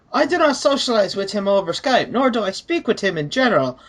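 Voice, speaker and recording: A woman giving a dramatic reading of written messages in formal, measured phrasing. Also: mid-range voice, big pitch swings, rough recording. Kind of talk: dramatic reading of written messages amid casual group chat.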